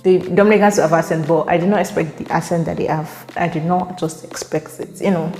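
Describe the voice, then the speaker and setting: A woman's voice: lowish voice, steady tone, a little fast, warm tone. A young Nigerian woman vlogging casually. She speaks with a Nigerian accent, chatty, laughs often and repeats words while she thinks. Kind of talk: casual vlog to camera. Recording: echoey room, faint music.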